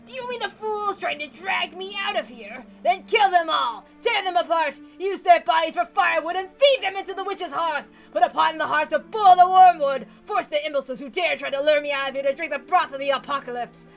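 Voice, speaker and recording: A woman's voice: very high-pitched, fairly quick, few pauses, soft background music, voice pushed up. A woman voicing an aged, raging patriarch who bellows bombastically and draws out words in a theatrical reading. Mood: furious, imperious.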